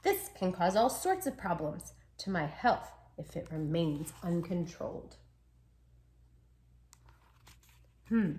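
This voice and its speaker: A woman's voice: fairly low pitch, expressive, measured pace, dark tone, voice pushed down. A woman voicing a sweet, plucky little male dachshund who tells his own storybook tale with earnest, dramatic emphasis. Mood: earnest, wondering. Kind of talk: children's storybook read-aloud.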